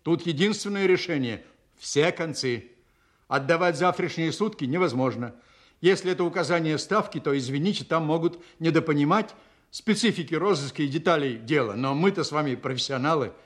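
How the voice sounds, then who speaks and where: A man acting an earnest, stubborn Soviet counterintelligence officer in a Russian audio drama, measured, formal and respectful to superiors. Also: fairly high pitch, lightly acted. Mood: insistent, protesting.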